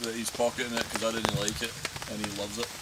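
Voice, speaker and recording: A man's voice: fairly low pitch, fast talker. Scottish guy, accent a bit mixed, chilling by the fire, chatty and laughy, rain all over the mic. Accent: Scottish, mixed with time in Cornwall and Canada. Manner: relaxed, chatty, laughs easily. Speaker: outdoor vlogger. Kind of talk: casual chat by a campfire. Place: woodland camp outdoors in heavy rain. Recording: rain noise outdoors.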